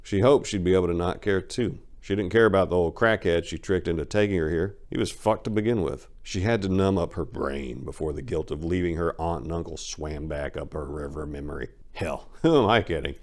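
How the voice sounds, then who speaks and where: A man's voice: deep voice, a little fast, hardly any pauses. A man giving a theatrical, sardonic dramatic reading of a gritty crime story as its narrator.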